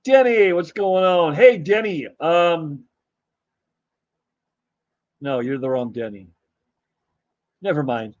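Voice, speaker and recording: Man streaming live, voice going hoarse. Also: on the high side, expressive, a little mellow, no room sound, muffled recording. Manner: rambling, halting, with many fillers and restarts. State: losing his voice. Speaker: livestream host. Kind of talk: casual live-stream chat, reading viewer comments.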